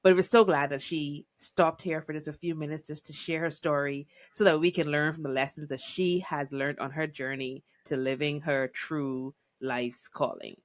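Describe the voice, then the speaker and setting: A woman from the Bahamas hosts a podcast in an effusive, encouraging way. Her voice sits low, and the sound is dry and close. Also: steady tone, moderate pace, hardly any pauses, no echo. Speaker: podcast host. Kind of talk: casual interview chat.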